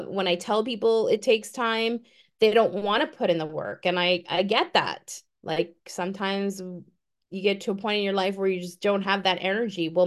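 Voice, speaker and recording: A woman in her fifties giving an earnest, encouraging motivational talk on a group call. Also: medium pitch. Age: 50s. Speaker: guest speaker.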